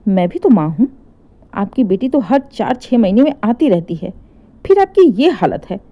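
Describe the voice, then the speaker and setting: A woman's voice: mid-range voice, big pitch swings, moderate pace, lo-fi sound. A woman voicing an indignant older mother defending her married daughter in a story, firm, sarcastic and emphatic. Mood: firm, defiant.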